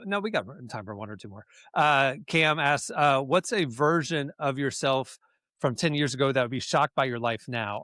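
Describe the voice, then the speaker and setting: Podcast host guy, reads listener questions, laid-back and jokey, mid pitch, dry close mic. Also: no room sound. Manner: relaxed, chatty, joking, with fillers. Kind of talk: casual chat.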